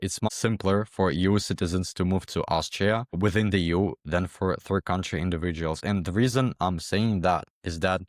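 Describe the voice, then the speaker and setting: Low-voiced young man from Ukraine. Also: normal speed, hardly any pauses, no echo, clean studio sound. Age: young adult. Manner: hesitant, repeats words, many fillers. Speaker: interview guest, former exam candidate. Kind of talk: casual interview talk.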